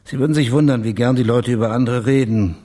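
An actor playing a dry, laconic male private detective with a husky voice in a radio play. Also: medium pitch, fast talker, mellow, lightly acted. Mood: cool, wry. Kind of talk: naturalistic radio play acting.

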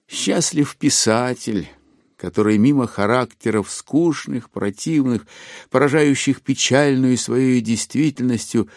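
A male narrator with a lowish voice delivers literary prose in a theatrical, declamatory way. He takes his time with long pauses, and his intonation rises and falls widely over faint music. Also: a little mellow.

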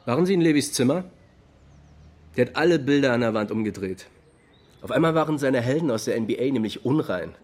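An actor playing an agitated, indignant young friend with slangy, emphatic delivery in a naturalistic radio drama. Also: male voice, mid-range voice, lively intonation, brisk, long pauses, darkish tone, natural voice.

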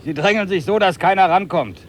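An actor playing a stubborn, determined trekker and angler, brooding and deliberate, in a 1950s radio play. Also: male voice, high voice, lightly acted. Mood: irritated, calling out. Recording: noisy track with running water.

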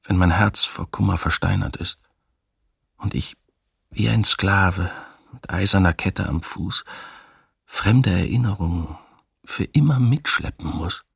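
An actor voicing a grief-burdened poet in a radio drama, gravelly and husky, reciting slowly and solemnly with heavy deliberation. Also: male voice, low voice, a bit bright, dry recording, studio quality, heavily acted. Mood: sorrowful, weary. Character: grief-burdened male poet looking back on mass graves.

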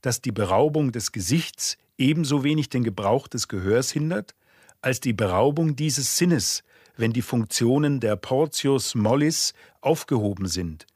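Crisp dry-sounding man reading formally. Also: mid-range voice, lively intonation, a little slow, darkish tone, no echo.

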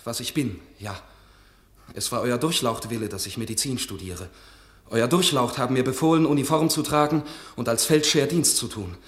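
A man's voice: mid-range voice, normal speed, close to an everyday voice. A young man playing an earnest young doctor. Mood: pleading. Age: 20s. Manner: respectful yet earnest, pleading. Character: young male regimental doctor and playwright, earnest. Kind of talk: radio drama acting. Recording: roomy studio echo.

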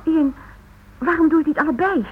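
A woman's voice: sits high, fairly quick, natural voice. An actress playing an eager, practical wife and mother in a radio play, speaking in a probing, coaxing way. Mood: disappointed. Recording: muffled old radio recording, faint noise.

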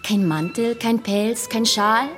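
A woman's voice: fairly high pitch, little pitch movement, takes it slowly, fairly bright tone. An actress playing a fussy, well-off woman in a radio play, chatty and coaxing. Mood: exasperated. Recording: music under the voice.

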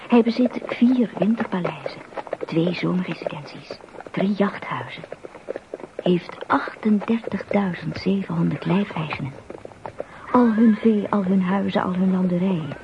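An actor playing the emphatic storyteller of a radio drama folk tale, delivering scripted narration with dramatic pauses. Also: male voice, high voice. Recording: dull recording with clear noise.

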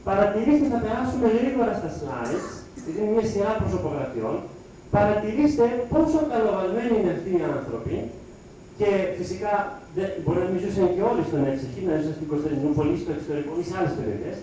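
A man giving a public lecture over slides, speaking haltingly in short phrases with pauses. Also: high voice, big pitch swings, dark tone. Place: lecture hall with audience. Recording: echoey hall, distant mic, faint noise.

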